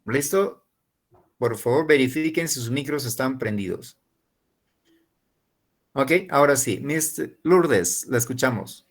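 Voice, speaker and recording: Gravelly-voiced man running an online class. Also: mid-range voice, measured pace, pauses often. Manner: switches between Spanish and English, gives instructions. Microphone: video call.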